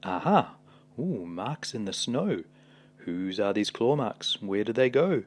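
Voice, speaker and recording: A man voicing a brave, curious young monster daughter in a storybook, with excited, wide-eyed questions. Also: fairly low pitch, slow.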